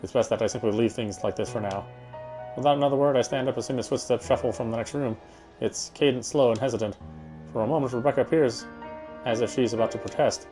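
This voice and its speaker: A man's voice: mid-range voice, fast talker, dark tone, faint music behind. A man reading a first-person game story aloud, now and then stumbling over a word and repeating it.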